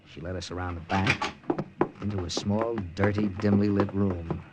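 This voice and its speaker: Low-pitched, hard-boiled American captain played by an actor. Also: male voice, fairly even intonation, normal speed, very noisy, close to an everyday voice. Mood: grim, reflective. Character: tough, weary American army captain recounting his mission. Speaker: narrator and lead character. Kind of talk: 1940s radio acting with first-person narration.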